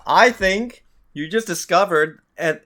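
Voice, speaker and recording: A man's voice: high voice, slow pace, a crowd in the background. A man co-hosting a gaming podcast, bantering casually with friends and swearing bluntly.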